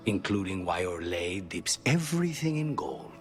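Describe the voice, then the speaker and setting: A dry, brooding fantasy-game assassin. His low voice is understated and wry, yet moves widely in pitch as he delivers deadpan remarks. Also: normal speed, soft background music. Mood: dry, wary.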